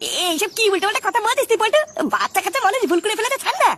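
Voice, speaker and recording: A performer voicing an excitable, foul-mouthed, defensive father in a comedy cartoon, argumentative and exasperated. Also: very high-pitched, rapid-fire, a little hiss or hum, heavily acted. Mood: protesting. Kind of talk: exaggerated cartoon comedy dialogue.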